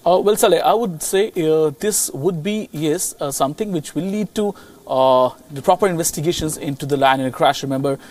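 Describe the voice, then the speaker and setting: A man with an Indian accent giving a live, unscripted news report as a correspondent, halting and rephrasing as he goes. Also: on the high side, a little mellow, no room sound, distant mic.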